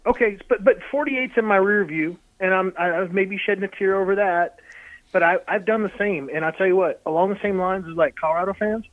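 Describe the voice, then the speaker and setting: A man's voice: high-pitched, brisk, no room sound. A man in his late forties talking sports casually over the phone as a radio guest, rambling and repeating himself as he makes his points. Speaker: radio guest, college football reporter. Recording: phone line into radio show.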